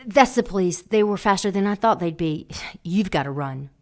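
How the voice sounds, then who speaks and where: A woman's voice: on the low side, lively intonation, normal speed. An actress playing a seductive, secretive woman in an audio drama, teasing and flirtatious. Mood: urgent.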